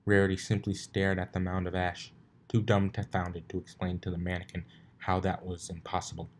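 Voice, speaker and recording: A man's voice: low voice, monotone, moderate pace, a little hiss or hum. A man narrating a scripted story as an amateur reader, informal and given to wry asides. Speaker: amateur fan fiction reader.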